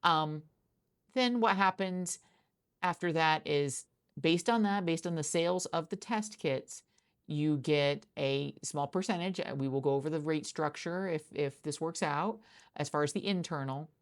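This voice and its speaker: A woman improvising a conversational ASMR roleplay as a job interviewer, with halting phrasing and a gravelly creak. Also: deep voice.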